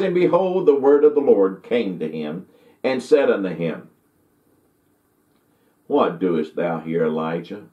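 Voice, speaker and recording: A man gives a folksy, rambling Bible lesson to the camera from a study, with many restarts and fillers. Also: on the low side. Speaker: Bible teacher. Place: indoor study with bookshelves. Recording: tinny, echoey, from far off.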